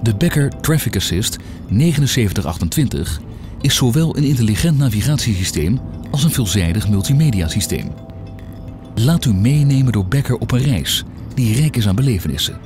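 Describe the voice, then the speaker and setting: Man, varied expressive intonation, scripted product voice-over, prominent music backing. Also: mid-range voice, normal speed. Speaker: voice-over narrator. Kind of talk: scripted promotional narration.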